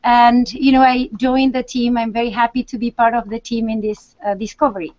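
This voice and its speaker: A woman from Argentina with a fairly high voice talks earnestly, sometimes searching for the right word. The sound is dull and lo-fi. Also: little pitch movement, a little fast, darkish tone. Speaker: researcher. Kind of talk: casual panel chat.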